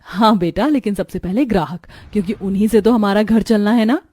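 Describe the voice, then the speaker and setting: A woman's voice: mid-range voice, brisk, mellow, husky, natural voice. A woman playing an earnest, cheerful young wife who works as a street vendor in a cartoon story. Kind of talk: animated moral story dialogue. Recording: music behind the voice.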